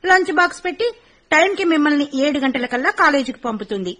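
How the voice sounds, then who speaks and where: A woman's voice: high voice, expressive, fast, fairly bright tone. An actress in her twenties playing the bossy elder daughter, a postgraduate student, in a radio play. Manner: bossy big-sister tone. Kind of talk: radio drama acting.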